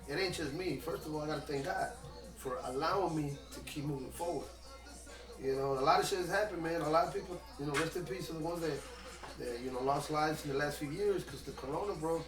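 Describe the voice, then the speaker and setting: A man who speaks as his rattles off his story at a fast clip, loose and emphatic, full of slang and swearing. His voice sits a bit high for a man. He sounds echoey and set back from the mic, with music clearly playing behind him. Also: recorded from a distance. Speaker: rapper. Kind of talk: casual interview chat.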